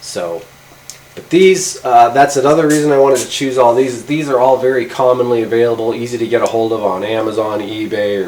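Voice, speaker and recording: Casting: middle-aged male garage host, mid-pitched, informal and halting, faint ambient noise behind. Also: darkish tone, rough recording. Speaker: host of a radio gear review channel. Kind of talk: casual product test vlog. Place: outdoors by a garage door, later a garage workshop.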